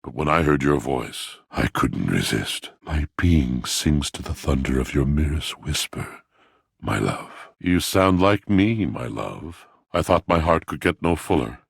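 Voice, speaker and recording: Very deep, gravelly poetic brooder. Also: male voice, very animated, a little slow, no echo, studio quality, full character voice. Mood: tender, adoring. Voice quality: gravelly, husky. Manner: florid, grandiose, poetic diction. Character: brooding, melodramatic poetic male washing machine, lovelorn. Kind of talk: theatrical video game character acting.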